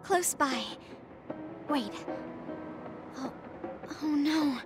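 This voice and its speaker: A woman playing a gentle, earnest but stubborn young female soldier in an anime-style video game, speaking in short, halting sentences. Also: high-pitched, music in the background. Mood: alert, excited.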